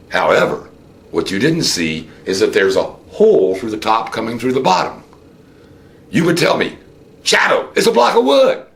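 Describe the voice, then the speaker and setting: An American man giving an unscripted political monologue, theatrical in manner, with rhetorical questions and drawn-out emphasis. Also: medium pitch, creaky, fairly bright tone. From United States. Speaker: host of a political commentary show.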